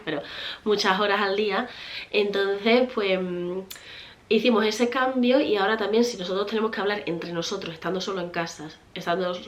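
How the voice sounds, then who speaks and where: A woman from Spain in her thirties with a Spanish accent, chatting casually to her viewers and often laughing. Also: lowish voice. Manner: chatty, rambling, many fillers and restarts, laughs often. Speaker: vlogger, mother. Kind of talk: casual chat answering viewer questions.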